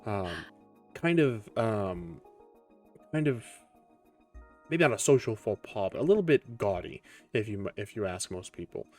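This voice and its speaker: A man working as game master, improvising tabletop narration in a halting, deliberate way with many pauses. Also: fairly low pitch, very animated, darkish tone. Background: background music.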